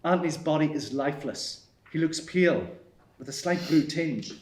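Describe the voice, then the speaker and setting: Casting: male narrator for screenplay action lines, higher-pitched, recorded with clear room echo. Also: animated, moderate pace, a little mellow. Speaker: narrator reading stage directions. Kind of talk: scripted screenplay narration at a table read. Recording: echoey room.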